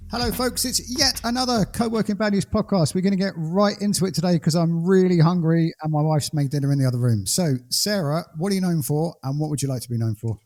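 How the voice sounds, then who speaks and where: A man's voice: on the high side, fast talker, hardly any pauses, darkish tone. A man hosting a podcast in a casual interview, joking and teasing his guest. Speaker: podcast host.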